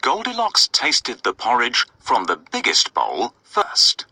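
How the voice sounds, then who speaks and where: A man tells a children's story in a very bright, forceful voice with a husky grain. His delivery swoops widely and expressively as he addresses young listeners, in a dry, close recording. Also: medium pitch, wildly swooping pitch, slow pace, dry recording. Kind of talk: scripted children's story narration.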